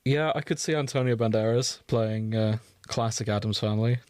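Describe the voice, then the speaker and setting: A man's voice: lowish voice, moderate pace, darkish tone, clear, resonant tone, no room sound. A man co-hosting a podcast in casual chat, wandering off on tangents as he talks. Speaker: podcast co-host.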